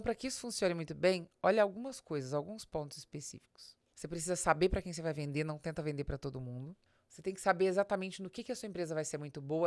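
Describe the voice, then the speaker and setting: A Brazilian woman with a fairly low voice talks through business advice in podcast style. She is didactic and addresses the listener directly, often asking rhetorical questions. The sound is very dry, with hardly any room in it. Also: no room sound. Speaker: business advisor and podcast host. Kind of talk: business podcast talk, explanatory with examples.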